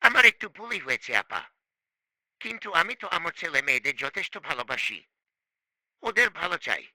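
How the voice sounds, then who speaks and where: A man's voice: a little high, very animated, normal speed, pushed and bright, no echo, heavily acted. A man playing a stern, frustrated middle-aged father in a cartoon, scolding and complaining yet loving. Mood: regretful, defensive. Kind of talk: amateur cartoon voice acting.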